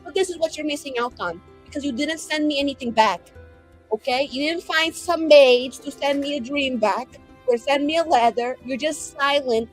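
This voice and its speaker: A woman's voice: high-pitched, moderate pace, faint music behind, lightly acted, rough recording. A woman voicing a vain, exasperated woman mage in a tabletop game, ranting sarcastically and swearing freely. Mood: aggrieved, shrill. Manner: sarcastic, foul-mouthed ranting. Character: exasperated, vain, profane woman mage sending dream messages.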